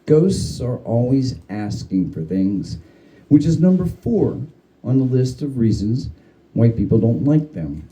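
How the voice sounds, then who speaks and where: A man giving a dramatic reading of a humorous spooky story, with theatrical, deliberate phrasing. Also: mid-range voice, sing-song, mellow, rough recording. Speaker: story reader.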